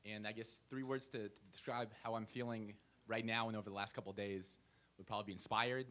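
A man working as a youth advisor introduces himself in a calm, earnest way in a conference room. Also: fairly low pitch, fast. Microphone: handheld microphone.

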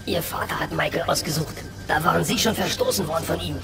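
A man's voice: fairly high pitch, steady tone, fast talker, gravelly, far from the mic, over loud music. A man playing a weakened, pleading captive in a radio drama. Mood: distressed.

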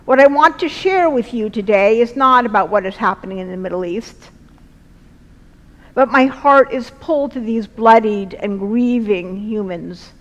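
A middle-aged woman preaching a sermon from a lectern in a large church, speaking earnestly in slow, deliberate phrases. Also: fairly high pitch, dull audio. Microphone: lectern mic, far camera. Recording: long church reverb.

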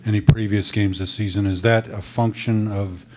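A man's voice: lowish voice, flat delivery, normal speed, a little mellow. A man, a reporter, putting a polite, plain question at a press conference from off-mic. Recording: off-mic with clear background hiss.

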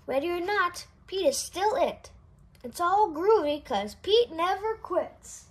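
A woman reading a children's picture book aloud in an expressive, sing-song way. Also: very high voice, slow pace. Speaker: storybook reader. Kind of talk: children's read-aloud narration.

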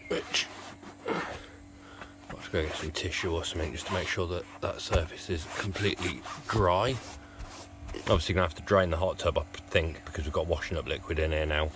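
A British man in his forties giving a low-key, casual running commentary as he does a repair, half muttering to himself. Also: steady tone, brisk. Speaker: vlogger demonstrating a repair. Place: covered outdoor patio by a hot tub. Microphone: handheld phone camera mic. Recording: faint ambient noise, handheld phone recording.

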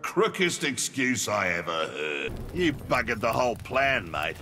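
An actor playing a cocky, crude Australian mercenary crook, taunting in slang for a video game. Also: male voice, medium pitch, very animated, a little slow, background noise. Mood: scornful. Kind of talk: video game fight banter. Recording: echoey hall, game music behind.

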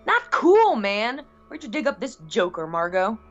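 A woman playing a brash, excitable young man in an audio drama, slangy and emphatic, over music. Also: a little high, measured pace, dull audio. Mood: indignant.

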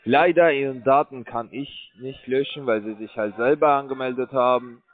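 A man making a pushy sales pitch over the phone, stumbling through broken grammar and hesitant fillers. His voice sits at an ordinary middle pitch. The sound is thin and tinny, like a phone line. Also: moderate pace. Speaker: scam call center caller.

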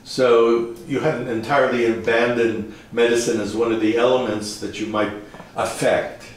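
A man's voice: mid-range voice, flat delivery, moderate pace, a little hiss or hum. Guy interviewing, curious follow-ups, far from the mic, big echo. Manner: curious, probing questions. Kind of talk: interview questions. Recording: roomy, from off-mic distance.

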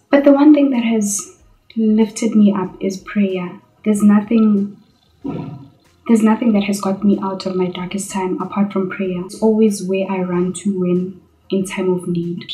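A woman's voice: medium pitch, warm tone, slight background noise. Earnest South African woman vlogging, roomy echo. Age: 20s. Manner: earnest, chatty, addresses viewers as guys. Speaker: YouTuber. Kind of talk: casual vlog Q&A. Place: bedroom, indoors. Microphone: camera on tripod in front. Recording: echoey bedroom.

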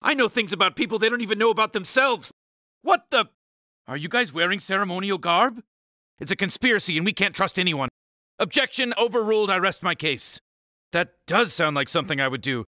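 A grumpy uptight cartoon alien boss, really high sharp voice, exasperated and pompous. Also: male voice, expressive, fairly quick, dry recording, studio quality. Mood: matter-of-fact, emphatic. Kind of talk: animated cartoon game voice acting.

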